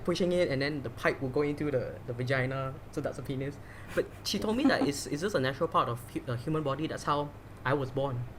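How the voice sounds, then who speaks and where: A man's voice: a little high, a little hiss or hum. A man from Malaysia hosting a casual podcast chat, talking loosely with many restarts. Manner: chatty, many fillers and restarts. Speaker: podcast host. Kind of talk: casual conversational interview.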